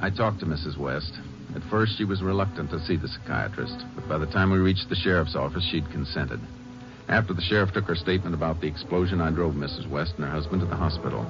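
An actor playing a laconic Texas Ranger who investigates and narrates, in a measured American voice in 1950s radio drama style. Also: male voice, sits low. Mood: measured narrating. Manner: measured, matter-of-fact. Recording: muffled old radio recording, music behind.

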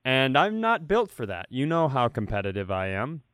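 A man with a clear, smooth voice in a very dry recording space, talking casually as a podcast co-host. He tells comic stories with a competitive streak and plenty of swearing. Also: medium pitch, sing-song, moderate pace, a bit bright, dry recording. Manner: profane, competitive storyteller.

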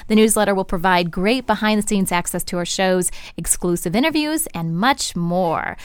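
A woman's voice: medium pitch, moderate pace, clear, resonant tone, dry recording. A woman hosting a pregnancy podcast in a studio, upbeat and chatty. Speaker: podcast host. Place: radio studio.

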